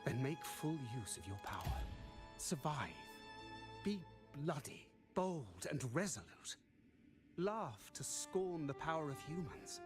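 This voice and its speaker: An actor playing a grandiose, persuasive mastermind in a game, with a deliberate, oratorical delivery. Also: male voice, a little high, sing-song. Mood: grand, persuasive. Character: grandiose, persuasive male mastermind urging someone onward. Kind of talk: dramatic game voice acting. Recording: voice under prominent string music. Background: violin music.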